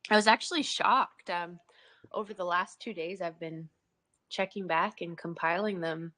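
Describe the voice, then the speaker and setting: A woman's voice: lowish voice, normal speed, sharp tone. A woman co-hosting a casual livestream Q&A, upbeat and chatty. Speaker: co-host.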